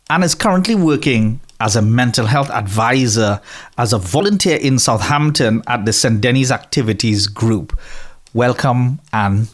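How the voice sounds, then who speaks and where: A man hosting a conversational interview, introducing his guest and prompting with questions. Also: mid-range voice. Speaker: interviewer, host.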